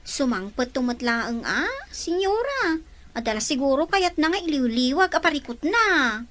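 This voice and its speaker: Deferential Ilocano housemaid, actress playing it; high, lively delivery. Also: female voice, normal speed. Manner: polite, deferential.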